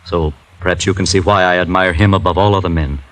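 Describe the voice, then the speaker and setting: Radio drama rich son: young, proud, earnest, formal diction, lowish voice. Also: male voice, steady tone, fast talker, fairly bright tone, rough recording. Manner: formal, stiffly articulate. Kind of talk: old-time radio drama acting.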